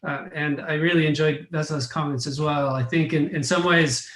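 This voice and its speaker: A man's voice: fairly high pitch, steady tone, moderate pace, fairly bright tone, echoey room. A middle-aged man from Argentina, a professor commenting on a panel in a thoughtful academic way, often restarting and repeating his words.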